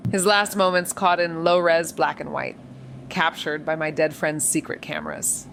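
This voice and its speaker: An obsessive young woman detective in a noir audio drama. Her voice sits on the low side, with a wry, defiant, foul-mouthed edge. There is some ambient noise behind her. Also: flat delivery, a little slow, creaky, a bit bright, exaggerated voice. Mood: wry, weary. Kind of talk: first-person audio drama narration and dialogue.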